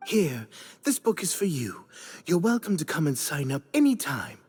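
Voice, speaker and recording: A man's voice: fairly high pitch, sing-song, normal speed, darkish tone, slightly rough. A man voicing a welcoming, polite prison inmate recruiter in a video game, with a promotional manner. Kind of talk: video game character voice acting.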